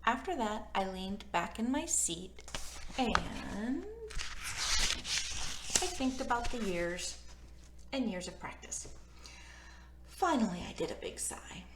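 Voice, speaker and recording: A woman's voice: mid-range voice, expressive, slow, pauses often, background noise. A woman hosting a children's storybook read-aloud, playful and informal as she chats to young listeners and narrates. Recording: roomy, echoey room sound.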